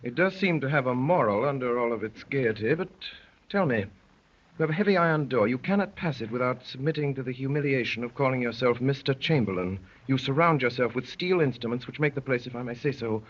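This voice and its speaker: Actor as husky, wry gentleman poet, old radio. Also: mid-range voice, lively intonation, close to an everyday voice. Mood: wry, polite. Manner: measured, literary, dryly polite. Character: Mr. Syme, courteous, wry gentleman poet narrating his strange adventure. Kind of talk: 1930s radio drama acting. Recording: muffled, worn 1930s radio recording.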